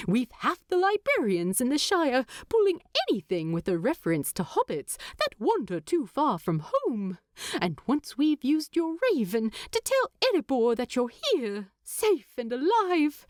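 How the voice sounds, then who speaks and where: Lady doing an excitable hobbit guy from a storybook, high voice all over the place, rambly and pushy in an eager way. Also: no echo, studio quality. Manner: rambling, hesitant, eagerly persuasive. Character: excitable, talkative adult male hobbit, eager and stubborn.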